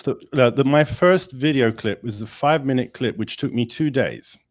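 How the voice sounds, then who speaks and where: A male engineering professor speaking in a webinar discussion, energetic and emphatic, with many restarts. English is not his first language. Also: medium pitch, normal speed, dry recording, studio quality.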